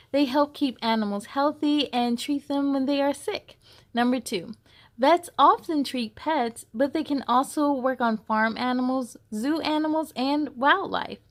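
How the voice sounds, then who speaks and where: A woman reading a picture book aloud for children, expressively, addressing young listeners with questions and exclamations. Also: high voice. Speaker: host of children's read-aloud story time.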